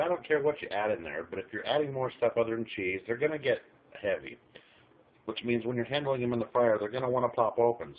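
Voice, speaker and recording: A middle-aged man casually explaining a recipe in a small kitchen, chatting to viewers while filming one-handed. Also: fairly low pitch. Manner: folksy, chatty instructions, talks to the viewer. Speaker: home cook filming a recipe. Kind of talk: casual cooking tutorial. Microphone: handheld camera filming overhead. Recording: tinny phone-like audio.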